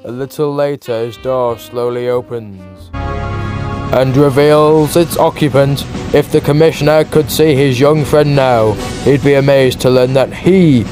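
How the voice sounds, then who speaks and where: A man narrates with dramatic, scripted delivery in a mid-pitched voice. His comic-book storytelling runs over steady background music. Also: unhurried.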